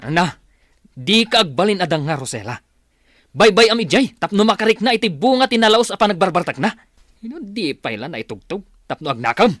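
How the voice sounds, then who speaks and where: An actor with an Ilocano accent playing an exasperated, bluntly disapproving elder brother in a radio drama. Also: male voice, sits high, expressive, brisk, a bit bright, dry recording, clean studio sound. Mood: scolding, exasperated.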